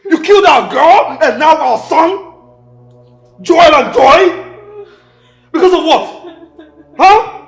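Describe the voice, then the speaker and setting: A man playing a grieving father who shouts and stammers in fury at his wife. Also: very high-pitched, very animated, normal speed, exaggerated voice. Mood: furious. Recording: echoey room with music.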